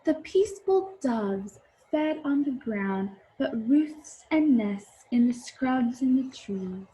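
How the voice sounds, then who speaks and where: A woman voicing a quiet little girl from a picture book, soft-spoken, gentle and careful. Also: fairly high pitch, lively intonation, slow, rough recording. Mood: earnest, soft. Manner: hushed, careful.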